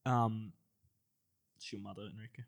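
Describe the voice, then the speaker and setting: A man's voice: on the low side, steady tone, normal speed, gravelly. Awkward hesitant Australian man. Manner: awkward, hesitant, lots of fillers. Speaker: podcast co-host. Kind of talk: improvised comedy role-play.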